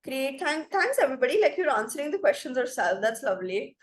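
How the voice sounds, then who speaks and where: High-voiced Indian woman teaching debate. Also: very animated, fast talker. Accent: Indian English. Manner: explanatory, many fillers like "like" and "you know". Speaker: debate trainer. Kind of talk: online debate training session. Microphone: video call.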